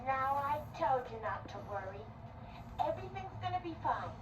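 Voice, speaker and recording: A woman's voice: high voice, very animated, moderate pace, noisy background. A woman voicing a brave, reassuring young boy in a fairy tale, earnest and soothing. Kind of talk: theatrical fairy-tale storytelling. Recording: echoey, distant voice with music behind.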